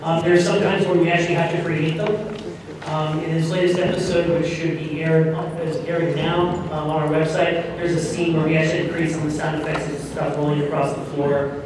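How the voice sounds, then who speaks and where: A man with a fairly high voice gives an informal live presentation, instructing people as a director would. He is heard from a distance in a very reverberant, noisy hall. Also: little pitch movement, a little fast. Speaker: audio drama producer directing and presenting. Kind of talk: live convention presentation to an audience. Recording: echoey, noisy convention hall, distant mic.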